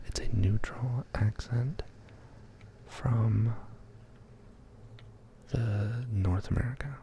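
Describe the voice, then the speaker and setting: Male ASMR streamer, from Texas, slow gravelly whisper, close studio mic. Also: medium pitch, a little hiss or hum. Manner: soft whispering, chatty, reads and answers chat. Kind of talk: whispered ASMR livestream chat. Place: home studio. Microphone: studio condenser mic.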